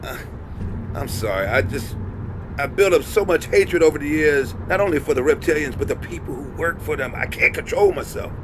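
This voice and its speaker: An actor playing a bitter, hot-headed homeless conspiracy crusader, intense and earnest, in a comedic audio drama. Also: male voice, a little high, lively intonation, normal speed, background noise, lightly acted. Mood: apologetic, bitter. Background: music.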